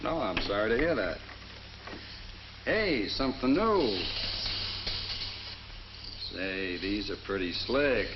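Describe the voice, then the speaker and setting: A man's voice: on the low side, very animated, takes it slowly, long pauses. A man with an American accent playing a folksy, eager bread route salesman explaining his job in a 1950s training film. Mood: friendly. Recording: noisy, muffled 1950s film soundtrack.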